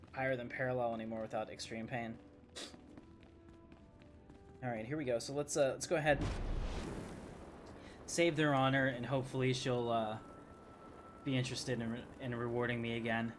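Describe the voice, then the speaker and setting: A man with a medium-pitched voice gives casual gameplay commentary. He is conversational and jokey, talking over clearly audible game music. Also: steady tone, normal speed, long pauses. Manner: conversational, joking. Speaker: gaming video host. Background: video game music.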